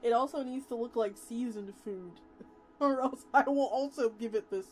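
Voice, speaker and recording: A woman VTuber chatting and reacting on a livestream in an excitable way, prone to blurting exclamations and swearing. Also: on the high side, normal speed, rough recording. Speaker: VTuber streamer. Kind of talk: livestream reaction chat and reading aloud. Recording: loud music behind the voice. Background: music.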